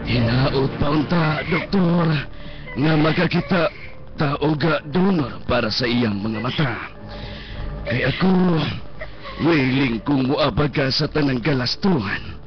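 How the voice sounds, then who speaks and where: A man with a Cebuano accent acting an earnest, remorseful benefactor in a radio drama, speaking in a measured, reassuring way. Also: medium pitch, natural voice. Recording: dull lo-fi radio stream, music behind.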